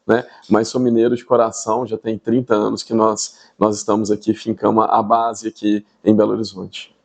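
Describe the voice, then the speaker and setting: A man's voice: on the low side, little pitch movement, moderate pace, vocal fry. A middle-aged Brazilian man with a Minas Gerais accent, explaining things conversationally in an interview and often checking in with tag questions. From Espírito Santo, Brazil. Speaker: podcast guest, tech entrepreneur.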